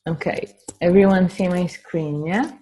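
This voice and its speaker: Slow, low-voiced Israeli-accented woman. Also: fairly even intonation, mellow. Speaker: spiritual healer hosting a webinar. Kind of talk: guided meditation and informal webinar talk. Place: online webinar from a computer.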